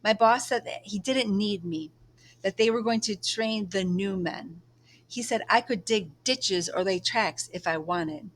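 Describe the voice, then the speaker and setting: A woman reading a children's book aloud, playing a frustrated young male labourer in a sharp, emphatic way. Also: mid-range voice, measured pace, natural voice. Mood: bitter.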